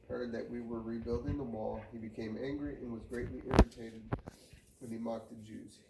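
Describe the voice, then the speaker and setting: A man reads scripture aloud in a creaky, low-key voice with little melodic variation. He occasionally trips and repeats a phrase. The room rings around him. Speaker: Bible reader. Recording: roomy, distant mic, faint noise.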